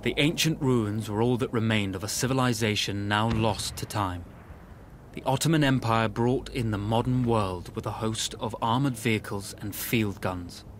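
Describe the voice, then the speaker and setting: An actor playing a composed, confident military officer narrating a war mission in a video game. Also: male voice, on the low side, moderate pace, a little hiss or hum, lightly acted. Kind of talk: scripted narration. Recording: loud music under the voice. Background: loud music.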